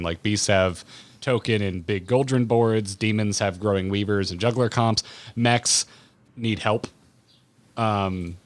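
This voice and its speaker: Low-voiced male podcast host, eagerly questioning. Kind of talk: casual interview chat.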